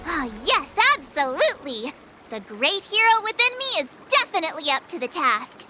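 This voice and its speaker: A woman's voice: high-pitched, sing-song, moderate pace, pushed and bright, soft background music, exaggerated voice. A woman voicing a perky, eager teenage girl hero-in-training in an anime-style video game. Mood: confident. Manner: perky, self-encouraging, exclaiming. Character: bubbly, eager teenage girl swordplay student and aspiring hero.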